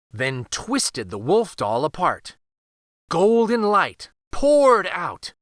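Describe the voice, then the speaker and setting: Bright, highly animated male storyteller. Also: dry recording, clean studio sound. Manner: expressive, deliberate, theatrical. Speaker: children's storyteller. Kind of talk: dramatic picture-book read-aloud.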